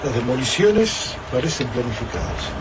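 An actor playing an earnest, inquisitive historian among soldiers in a radio drama. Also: male voice, very animated, normal speed, natural voice. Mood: concerned. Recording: noisy rumbling ambience behind dialogue.

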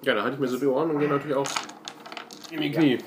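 A man's voice: medium pitch, fairly even intonation, racing. A man chatting casually as a player in a tabletop role-playing game. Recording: echoey, noisy room recording.

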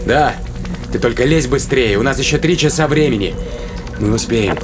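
An actor playing a gruff, resolute spaceship captain in an audio drama, terse and commanding, with a creaky voice. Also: male voice, mid-range voice, moderate pace, music in the background, close to an everyday voice. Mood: calm, reassuring. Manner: terse, muttering to himself, commanding. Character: gruff, resolute male spaceship captain, sardonic under pressure.

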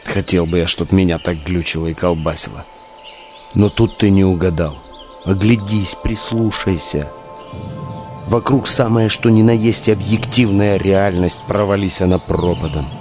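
A hardened street tough in an audio drama. He has a lowish voice and a wary, domineering manner, with crude and sardonic phrasing. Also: normal speed, music in the background. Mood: grim, wary. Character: hard, domineering, foul-mouthed gang tough, wary and sardonic.

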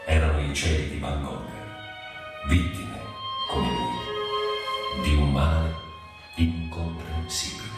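An actor playing a solemn literary narrator in a radio drama, brooding and deliberate, lingering on single words. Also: male voice, a bass voice, fairly even intonation. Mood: brooding, lyrical. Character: brooding literary narrator of a suffering man's inner world. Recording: cavernous echo, distant, loud violin music. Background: loud violin music.

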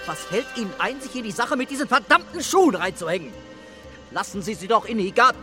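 Ungeduldiger, eher hoher Kommissar. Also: male voice, high voice, lively intonation, fairly quick, over music. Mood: exasperated. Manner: blunt, colloquial, impatient. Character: blunt, exasperated French police commissioner, the boss. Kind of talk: German radio crime drama acting.

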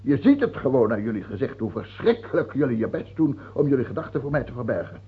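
A muffled, phone-like radio play recording of a tyrannical king. His husky, creaking voice swoops widely. He is capricious and suspicious, repeating himself as he slides between wheedling and command. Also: mid-range voice, very animated, quick pace, heavily acted. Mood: suspicious, mocking. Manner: repeats himself, swings from wheedling whispers to shouting. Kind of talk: old radio drama acting.

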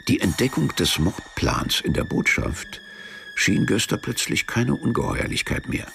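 A man narrating a literary radio drama in a measured, deadpan manner. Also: medium pitch, gravelly, background noise. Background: music behind the voice.

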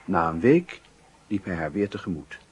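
A man's voice: low-pitched, lively intonation, normal speed, darkish tone, slightly rough. A man reading scripted literary narration for a radio drama.

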